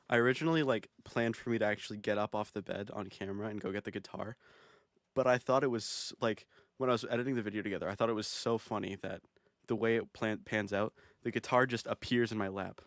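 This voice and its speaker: A man talks through his own music video in a loose, rambling way, full of fillers and amused at his own jokes. His voice sits a little low. The sound is dry and close. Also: no echo. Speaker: video creator.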